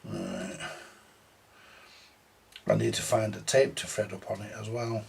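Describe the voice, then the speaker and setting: A man's voice: fairly low pitch, steady tone, measured pace, a little mellow. A man giving casual, muttered, thinking-aloud commentary as he repairs audio equipment at a workbench. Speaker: vintage audio repairer presenting a workbench video. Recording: clear background noise, clicks.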